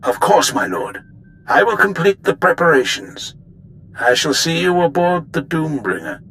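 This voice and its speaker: Formal Imperial officer, slightly high voice. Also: male voice, big pitch swings, measured pace, a bit bright, slight background noise, heavily acted, rough recording. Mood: dutiful. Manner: crisply formal, reporting. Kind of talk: video game voice acting.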